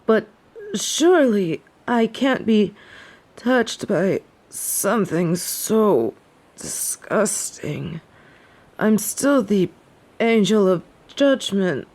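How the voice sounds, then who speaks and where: A woman's voice: mid-range voice, very animated, very slow, darkish tone, exaggerated voice. A woman playing a snobby fallen angel who is sick with a head cold, speaking in formal, archaic phrases for an audio drama. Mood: proud, defensive.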